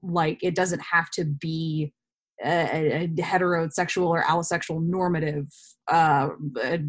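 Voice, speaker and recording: A woman in her twenties with a slightly low voice speaks as a guest in a casual interview. She is chatty and reflective, working through her thoughts out loud as she goes. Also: steady tone, unhurried. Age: 20s. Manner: chatty and self-reflective, thinking aloud.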